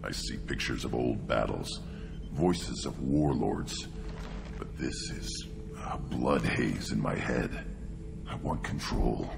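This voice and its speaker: Casting: young reptilian warrior for a game, restless and brutish, bottomless pitch, slow delivery, music underneath. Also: male voice, noisy background, heavily acted. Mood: brooding, tense. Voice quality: very deep, gravelly growl. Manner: halting, blunt, forceful short bursts. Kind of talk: video game dialogue acting.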